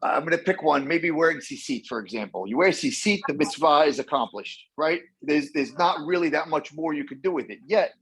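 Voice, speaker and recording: A man's voice: on the high side, lively intonation, a little fast, few pauses, fairly bright tone, slightly rough, poor audio. A man taking part in an online class discussion, eager and thinking aloud. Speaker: class participant. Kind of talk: casual discussion.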